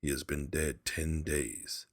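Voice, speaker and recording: A man's voice: extremely low pitch, steady tone, slow pace, no echo, clean studio sound, exaggerated voice. A man voicing a frightened, grieving old father in a story reading, faltering and aghast as he speaks. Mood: shaken grief.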